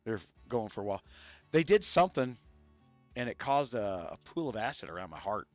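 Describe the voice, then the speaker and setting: A man with a gravelly, irritated voice, hosting a rambling casual chat about a strategy game and stopping now and then to cough. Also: fairly low pitch, very animated, fairly quick, dry recording, muffled recording, faint music behind. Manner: rambling, many restarts and fillers. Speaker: gaming channel host. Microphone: studio mics set up to spare his voice.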